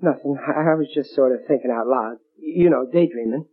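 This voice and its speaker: A man's voice: mid-range voice, a little fast, husky, close to an everyday voice. An actor playing a bumbling, stubborn working-class American father in a blustering, ungrammatical way, in old radio sitcom style. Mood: defensive bluster. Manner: blustering, ungrammatical everyman talk. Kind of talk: 1940s radio sitcom acting. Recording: muffled old radio broadcast.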